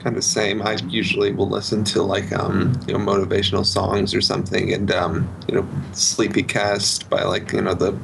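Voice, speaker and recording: A man's voice: lowish voice, monotone, moderate pace, few pauses. A man chatting casually as a panelist on a podcast. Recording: clear background noise.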